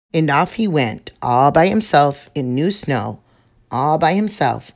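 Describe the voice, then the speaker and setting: A woman reads a picture book aloud to children at an unhurried pace. Her voice is low for a woman, gentle and expressive. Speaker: storyteller.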